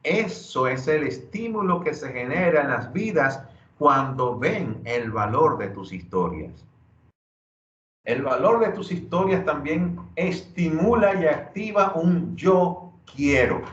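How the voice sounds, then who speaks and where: Slow, earnest man in an echoey room. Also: a little high, expressive, fairly bright tone. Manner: earnest, preacher-like, slows to stress key phrases. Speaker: workshop speaker. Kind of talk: motivational workshop talk. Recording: dull lo-fi audio in an echoey room.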